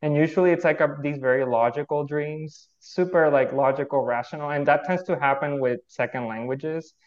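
A man's voice: a little high, normal speed, highs cut off. A man from Puerto Rico whose first language is Spanish, a guest researcher explaining things thoughtfully, with frequent fillers and restarts. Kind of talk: casual explanatory interview.

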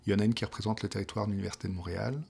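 A man's voice: lowish voice, steady tone, racing, creaky, darkish tone, husky. A middle-aged man from France with a Parisian accent, explaining his work in an interview, hesitant with many restarts. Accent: Parisian French. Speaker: project manager for a provincial health plan.

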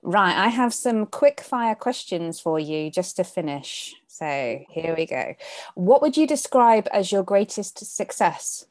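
A woman's voice: mid-range voice, expressive, a little slow, a little mellow. Woman podcast host asking questions. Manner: friendly, polite interviewer asking short questions. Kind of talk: podcast interview.

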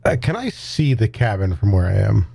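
A man's voice: fairly low pitch, very animated, normal speed, vocal fry, dark tone, slightly rough, no room sound, studio quality. A man playing a tabletop game, casually improvising what his character says and does.

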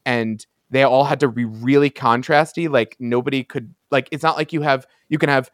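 A man's voice: medium pitch, steady tone, normal speed, dry recording, studio quality. A man co-hosting a podcast, talking casually and emphatically in an informal way. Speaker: podcast host. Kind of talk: casual fan podcast chat.

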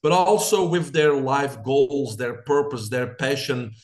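Picture a middle-aged man with a Belgian accent, answering an interviewer with earnest conviction. He builds his points through lists, pushing each idea forward like a motivational coach. His voice sits at an ordinary middle pitch. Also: measured pace. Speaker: guest, coach and biohacker. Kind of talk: interview storytelling.

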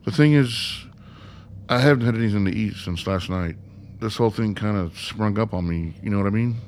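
An actor playing an awkward, uneasy newcomer in a radio play, speaking casually in a halting, pleading way. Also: male voice, on the low side, a little mellow, a little hiss or hum, close to an everyday voice. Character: awkward, uneasy newcomer man confined in a facility. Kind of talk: naturalistic radio play acting.

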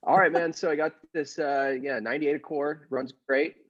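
A man's voice: on the high side, fairly even intonation, normal speed, a bit bright, lo-fi sound. A man performing improvised comedy with casual, slangy banter.

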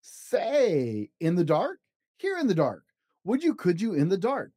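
An eager, persistent little storybook food-pusher, voiced by a man, coaxes in sing-song questions. The pitch is high and leaps about playfully. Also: wildly swooping pitch, a little slow, mellow, full character voice.

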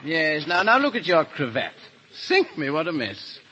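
An actor playing a foppish, affable, foolish-seeming English gentleman in a 1930s radio drama, pompous and dithering, repeating himself. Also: on the high side, sing-song, a little slow, slight background noise, heavily acted. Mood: airily foolish. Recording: dull 1930s radio recording.

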